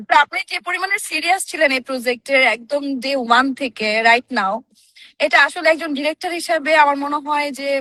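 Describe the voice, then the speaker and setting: High, bright-voiced Bangladeshi woman. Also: no room sound, low-quality recording. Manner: effusive, appreciative. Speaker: actress guest. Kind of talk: casual heartfelt tribute on a group chat.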